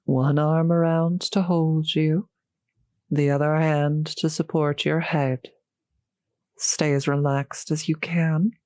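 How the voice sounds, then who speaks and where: Slow, genteel female vampire, acted by a woman. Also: low-pitched, little pitch movement, darkish tone, no room sound, studio quality. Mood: flirtatious, tender. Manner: polite, formal, old-fashioned phrasing, solicitous. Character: courteous, genteel female vampire, gently seductive host. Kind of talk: intimate audio roleplay addressed to the listener.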